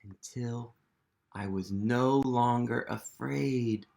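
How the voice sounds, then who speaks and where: A man's voice: medium pitch, slow, creaky, a little mellow. A man voicing a nervous egg who climbs back up a wall, read earnestly in first person from a storybook.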